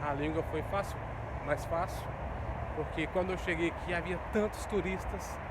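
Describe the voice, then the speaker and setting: A man's voice: a little high, fairly even intonation, normal speed, heavy background noise. A Brazilian man from Minas Gerais, speaking with his Minas Gerais accent, giving earnest, casual advice to camera and repeating his key phrases for emphasis. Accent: Minas Gerais Brazilian Portuguese. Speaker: vlogger, immigrant in Portugal.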